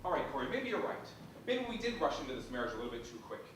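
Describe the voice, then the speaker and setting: A woman's voice: a little high, animated, brisk, fairly bright tone, very echoey, distant mic, a little hiss or hum. A woman acting an exasperated young lawyer husband on stage, with dry sarcasm that turns to pleading. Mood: wry.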